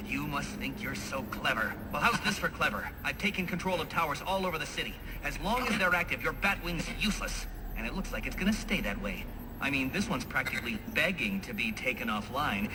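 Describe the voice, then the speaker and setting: A man's voice: fairly high pitch, close to an everyday voice. An actor playing a smug, theatrical male criminal mastermind in a video game, mocking and self-satisfied. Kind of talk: scripted game cutscene acting. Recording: loud background noise.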